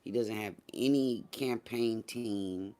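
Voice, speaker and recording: A man's voice: lowish voice, takes it slowly, dark tone. Emphatic man livestreaming in casual slang. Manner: slangy, profane, conversational; emphatic, repeats phrases for effect. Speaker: livestream host. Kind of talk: casual livestream political commentary, addressing a live chat.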